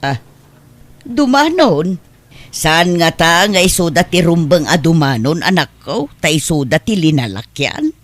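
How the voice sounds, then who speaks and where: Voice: mid-range voice, big pitch swings, a little slow, dry recording. A performer voicing a fussy, flustered older mother in an audio comedy drama.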